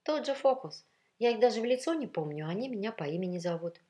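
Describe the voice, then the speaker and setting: A woman's voice: mid-range voice, lively intonation, quick pace, warm tone, pitched above the performer's own voice, natural voice. A woman voicing a tired, elegant woman who pleads plaintively and insistently with her man, in a story reading. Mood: weary, plaintive.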